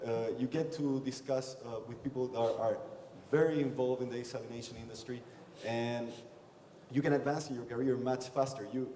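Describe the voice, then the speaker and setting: A man's voice: medium pitch, mellow, slight background noise. A young man from Chile, speaking as a panelist at a conference, telling stories with many hesitations. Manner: hesitant, many fillers, self-described timid. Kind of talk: conference panel talk, storytelling. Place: large conference hall. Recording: echoey conference hall, long reverb.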